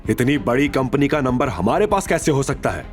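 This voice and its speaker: A man acts out a police officer of forty in an audio drama. He is stern and commanding, talking down with threat and mockery. His pitch moves in a lively way over background music. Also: normal speed, close to an everyday voice. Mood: mocking, threatening. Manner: commanding, intimidating, informal address. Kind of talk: audio drama character acting.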